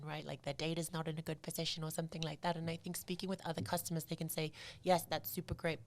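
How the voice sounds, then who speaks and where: A woman from Zimbabwe, in her thirties, chatting casually as a podcast guest, full of fillers like "like" and "you know what I mean". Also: deep voice. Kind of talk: casual interview chat.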